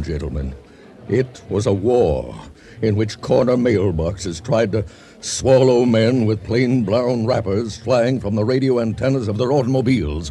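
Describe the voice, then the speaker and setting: An actor playing an earnest, disgraced general giving solemn, formal testimony in a comic radio drama. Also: male voice, lowish voice, dark tone, lightly acted. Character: disgraced, earnest lieutenant general testifying at his court-martial.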